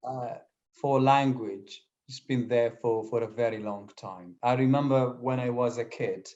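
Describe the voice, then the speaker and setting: A man's voice: mid-range voice, steady tone, unhurried, a little mellow. A young man from Syria whose first language is Kurdish, speaking English in a casual interview as a guest, with many fillers and restarts. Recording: slightly dull lo-fi audio.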